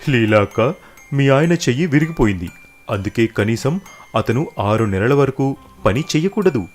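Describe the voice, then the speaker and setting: A man's voice: mid-range voice, expressive, moderate pace, faint music behind, natural voice. A man playing a grave, sympathetic village doctor who informs firmly in a cartoon story.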